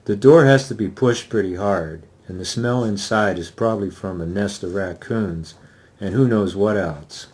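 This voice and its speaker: A man's voice: on the low side, normal speed, darkish tone, breathy edge. A man, the author, giving a dramatic reading of his first-person novel.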